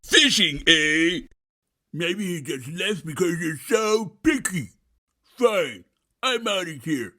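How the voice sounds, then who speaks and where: A woman's voice: fairly low pitch, lively intonation, takes it slowly, bright, forceful tone, voice pushed down, full character voice. A woman voicing a big scruffy boy monster, slobbery, pushy and easily offended, in a children's storybook. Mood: whiny, offended. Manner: slurping, whining.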